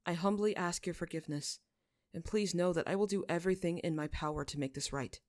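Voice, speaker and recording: A woman's voice: lowish voice, brisk, a little mellow, no echo, clean studio sound, lightly acted. A woman voicing an earnest young male lord in a story, solemn and subdued. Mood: remorseful.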